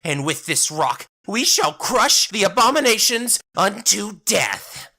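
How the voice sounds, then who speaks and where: Someone doing a haughty zealot preacher guy, raised pitch, loud, slow and bloodthirsty, audio drama villain. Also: sing-song, heavily acted. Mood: righteous, menacing. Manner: grandiose, drawn-out preaching. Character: haughty self-righteous fanatic male sermon giver, bloodthirsty zealot.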